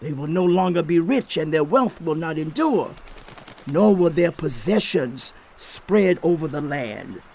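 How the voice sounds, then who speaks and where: Slow, high-voiced stern old sage. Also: male voice, animated, creaky, darkish tone, slight background noise. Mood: grave, ominous. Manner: declamatory, accusing, deliberate. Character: stern aged male sage rebuking a sufferer. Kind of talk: dramatized scripture reading. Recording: faint outdoor insect ambience.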